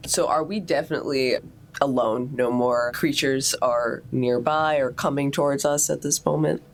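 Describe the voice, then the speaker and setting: A woman's voice: very deep voice, steady tone, normal speed. A woman chatting casually as a player in a tabletop role-playing game.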